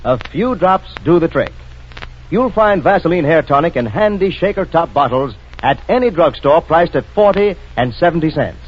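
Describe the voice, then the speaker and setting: A man's voice: fairly high pitch, animated, normal speed, breathy edge. A man working as a radio announcer, reading scripted narration in a 1940s style. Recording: dull vintage radio recording.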